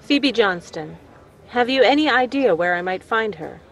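Woman as independent period lady, brisk, guarded, medium pitch, radio drama. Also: lively intonation. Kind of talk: period radio play acting. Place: at home on a video call.

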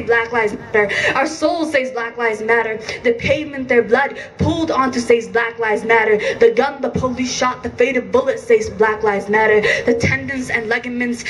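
A young woman from Iraq performing spoken word poetry at an outdoor rally with intense, rhythmic emphasis, heard through a PA from a distance. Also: on the high side. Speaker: poet. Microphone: handheld mic through PA, filmed from a distance. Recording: distant outdoor PA with faint noise.

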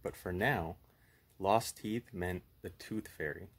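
Low, husky man reading bedtime horror. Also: takes it slowly. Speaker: puppet show host and storyteller. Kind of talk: horror story read-aloud with casual chat.

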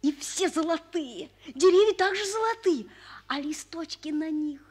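A woman's voice: extremely high pitch, lively intonation, measured pace, darkish tone. An actress playing a curious, obedient little boy full of childlike wonder in a 1940s radio play.

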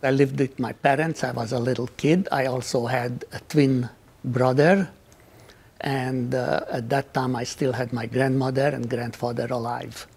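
A man's voice: mid-range voice, little pitch movement, measured pace, gravelly, a little mellow, slightly rough. A man in his eighties from Hungary, recounting childhood memories in an interview. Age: 80s. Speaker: Holocaust survivor interviewee. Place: quiet indoor library. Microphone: lapel.

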